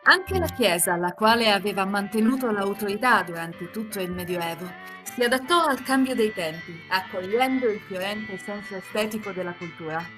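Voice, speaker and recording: A woman's voice: medium pitch, expressive, moderate pace, hardly any pauses. Woman reading on stream, music behind. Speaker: live-reading performer and narrator. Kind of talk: live stream reading and casual chat. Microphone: computer microphone on online call.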